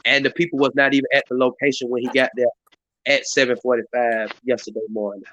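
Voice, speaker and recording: A hoarse man hosts a community live stream in a rambling way, full of fillers and restarts. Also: mid-range voice, level tone, fast, a bit bright, dull audio. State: hoarse, voice partly lost. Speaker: community activist hosting a live stream meeting. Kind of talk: casual hosted talk. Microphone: live stream mic.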